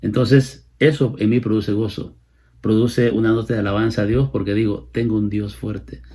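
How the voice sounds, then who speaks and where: A man's voice: fairly low pitch, little pitch movement, normal speed, dark tone, husky. A man in his late fifties with a Salvadoran accent, preaching a sermon and explaining scripture, repeating phrases for emphasis. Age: late 50s. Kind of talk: sermon, Bible exposition.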